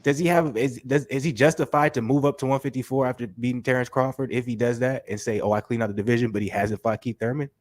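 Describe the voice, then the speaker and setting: A man hosting a casual boxing talk show while sick with a stuffy nose, talking in slangy, animated bursts and filling his speech with "you know what I'm saying". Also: mid-range voice, quick pace, hardly any pauses, dry recording. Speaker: host.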